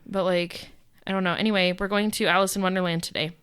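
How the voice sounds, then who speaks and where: A young adult woman co-hosting a podcast in casual chat. She talks loudly, laughs often and fills her talk with "like" and "um". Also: lowish voice, fairly even intonation, fast talker, clean tone, no echo, clean studio sound. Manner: loud, laughs often, chatty with fillers. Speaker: podcast co-host. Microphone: podcast microphone.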